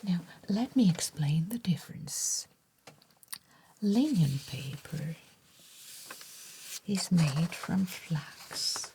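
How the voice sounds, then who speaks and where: Casting: actress as a gentle, attentive shopkeeper-scribe for ASMR roleplay; whispered, lowish, soft-toned female voice, formal manner, faint background noise. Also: animated, full character voice. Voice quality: breathy, mostly whispered. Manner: gentle, deliberate, formal salesmanship. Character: courteous, attentive professional letter writer in a stationery shop.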